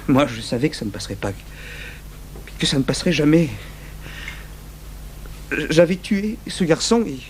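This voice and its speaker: An actor playing an anguished, bitter young clerk in a French-language radio drama, confessing haltingly with stammered restarts. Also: male voice, on the high side, sing-song. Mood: haunted. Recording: noisy humming old radio tape.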